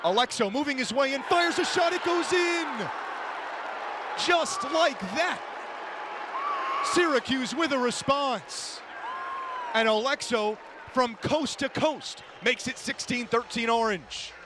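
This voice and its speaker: A man giving an energetic, descriptive live radio play-by-play commentary of a lacrosse game. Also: extremely high pitch, slow, long pauses. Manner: energetic, descriptive running call of the action. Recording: noisy live broadcast, game crowd behind. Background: crowd and ambient game noise.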